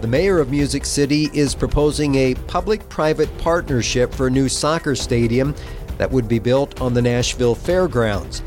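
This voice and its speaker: A man reads a news roundup in a steady, measured newsreader delivery. His voice is mid-pitched for a man, with faint music playing under him. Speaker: news reporter. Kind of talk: reading a news segment.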